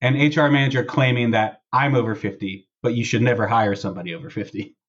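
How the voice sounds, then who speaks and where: A man with a husky voice hosting a casual podcast, chatting and reading posts aloud in a wry, sarcastic way. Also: medium pitch, steady tone, fast talker, muffled recording. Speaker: podcast host.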